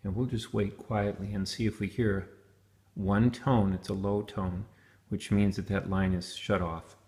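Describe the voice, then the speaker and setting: A man's voice: on the low side, little pitch movement, a little slow, darkish tone. Man in an echoey room, explaining step by step. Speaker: product reviewer demonstrating helmet intercoms. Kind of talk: hands-on gear demonstration, unscripted. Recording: roomy, echoing sound.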